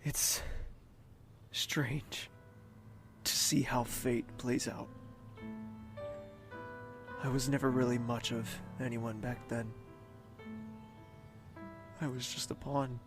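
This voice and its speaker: An actor playing a young crown prince haunted by grief, speaking in a brooding, halting, dramatic way. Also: male voice, mid-range voice, flat delivery, over music. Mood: brooding, sorrowful. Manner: brooding, anguished, halting delivery. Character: grieving young crown prince haunted by his sister's death. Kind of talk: dramatic audio drama monologue.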